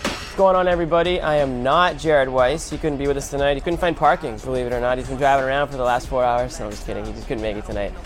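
A man's voice: mid-range voice, fast, over music. A man hosting a sports show, giving conversational, emphatic basketball analysis and repeating phrases for punch.

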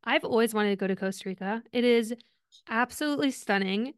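A woman with a smooth, clear and fairly high voice, interviewing on a podcast. She sounds chatty and keenly interested, and the recording is dry with little room sound. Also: fairly quick, no room sound. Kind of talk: casual conversational interview.

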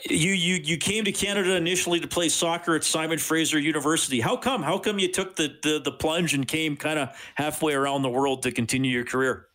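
A man's voice: a little high, fairly quick, hardly any pauses, sharp tone. A man hosting a sports radio show, friendly and chatty with frequent restarts.

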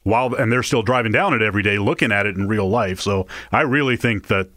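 A man's voice: on the low side, fast talker, pushed and bright, no echo, clean studio sound. A man co-hosting a show as a film reviewer, talking in a conversational, opinionated way. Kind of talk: casual movie chat.

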